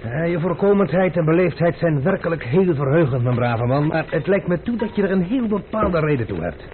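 An actor playing a self-assured gentleman adventurer in a radio play, urbane, wry and patronising. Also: fairly high pitch, animated, brisk, natural voice. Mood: patronising, probing. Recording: muffled, noisy old radio recording.

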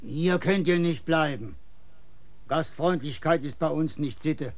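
An actor playing a curt, unwelcoming villager who sends a stranger away, in a radio play. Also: male voice, fairly high pitch. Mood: stern. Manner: curt, slow, dismissive. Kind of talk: classic German radio drama acting.

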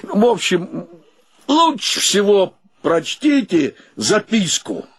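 Flustered bachelor, highish husky voice, lively swings, theatrical radio drama. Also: male voice, slow pace, no room sound, clean studio sound, exaggerated voice. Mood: awkward apology. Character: emotional, flustered gentleman, one of three bachelor friends. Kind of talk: theatrical radio play acting.

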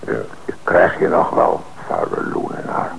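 An actor playing a coarse, threatening male crook under arrest in an old radio play, with a gravelly voice. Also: moderate pace, very noisy, lightly acted. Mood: defiant. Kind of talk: radio drama acting.

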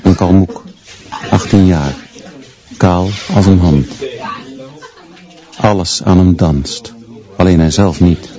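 An actor playing a stern male schoolteacher who narrates a radio play in clipped, measured, grimly deadpan phrases. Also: low-pitched, dull audio.

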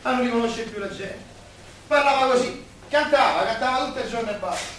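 An actor playing a chatty, warm-hearted working-class Roman man in a radio play, with colloquial, expressive, gossipy talk. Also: very high voice, a little fast. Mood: sad, confiding. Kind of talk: Italian stage-style radio theatre dialogue. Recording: distant, very echoey room, faint noise.

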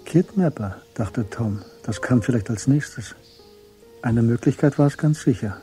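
A man narrating a scripted radio drama in measured literary prose. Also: mid-range voice, mellow, faint music behind.

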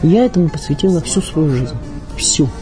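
A woman's voice: low voice, expressive, normal speed. A woman, a theatre director, talking reflectively in an interview, making her points emphatically, phrase by phrase. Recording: music behind the voice.

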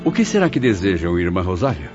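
An actor playing an earnest, inquisitive apprentice spirit in a radio drama, with formal, literary delivery. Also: male voice, deep voice, big pitch swings, fast talker. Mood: curious, puzzled. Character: earnest, inquisitive male apprentice spirit recounting his memories. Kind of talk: radio drama acting, literary first-person narration. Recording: dull lo-fi audio. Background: clear background music.

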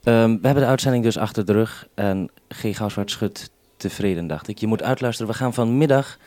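A man's voice: fairly low pitch, a little fast, darkish tone, no echo. A man working as a radio link operator, making procedural call-ups and relaying messages. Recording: faint noise and clicks, radio link.

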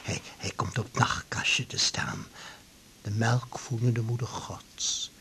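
A man's voice: on the low side, slow, bright, forceful tone, in a whisper, exaggerated voice. A man acting a muddled, addicted doctor in a radio drama, stammering and dreamy, with childlike piety and florid wording. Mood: dreamy, devout. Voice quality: husky, creaky. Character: rambling, dishevelled addicted male doctor, childlike and pious.

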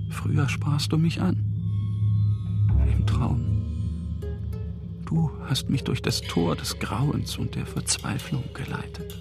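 An actor playing a melancholy German male teacher in a radio drama, with a halting, brooding manner. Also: mid-range voice. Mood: anguished, pleading. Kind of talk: literary radio drama acting.

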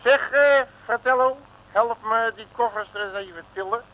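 An actor playing a brash, wisecracking detective with blunt slang in a comic radio serial. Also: male voice, very high-pitched, normal speed, muffled recording. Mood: baffled, cheeky. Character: brash, streetwise Dutch detective, blustery and wisecracking. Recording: old 1950s radio recording.

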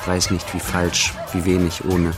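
An actor in a literary radio play, playing a reflective man reciting measured poetry. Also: low voice, completely flat delivery. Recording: clear music behind the voice. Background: music.

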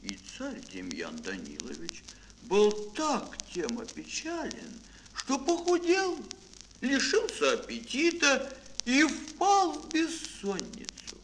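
A man telling a fairy tale for children in a dramatic, drawn-out, expressive storyteller's manner. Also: very high-pitched, a little hiss or hum, low-quality recording. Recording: music behind, old mono record. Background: music.

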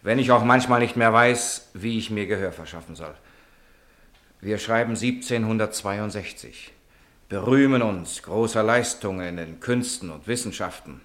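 Man acting courteous gentleman writer in old radio play, deep voice, earnest and eloquent. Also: lightly acted. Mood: earnest, determined. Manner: urbane, eloquent, measured. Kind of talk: 1950s German radio drama acting.

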